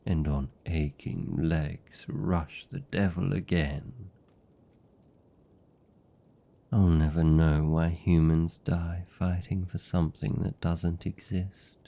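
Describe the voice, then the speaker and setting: A man with a British accent playing a seductive, possessive vampire captor in an intimate ASMR roleplay, hushed and deliberate, tender yet menacing. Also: heavily acted. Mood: calm, sly. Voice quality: gravelly, dark, low. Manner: slow, hushed, deliberate, teasing.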